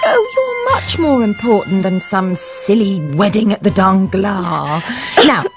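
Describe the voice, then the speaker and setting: A woman's voice: medium pitch, sing-song, a little slow, over music. A woman playing a genteel, scheming stepmother in a radio drama, coaxing with false tenderness. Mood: coaxing, false sweetness.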